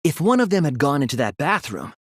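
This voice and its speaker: A man voicing an earnest, analytical teenage boy detective in an English video game dub, speaking in a deliberate, resolute way. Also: fairly high pitch, sing-song, slightly rough, no echo, studio quality. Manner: deliberate, earnest, explanatory delivery.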